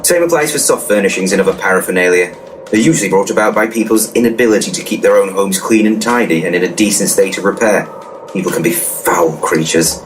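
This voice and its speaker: A man's voice: deep voice, quick pace, over music, close to an everyday voice. An actor playing a cocky, crude ghost-busting handyman in a comedy audio drama, pompous and matter-of-fact. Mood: pompous, assured. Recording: distant mic, clear background noise.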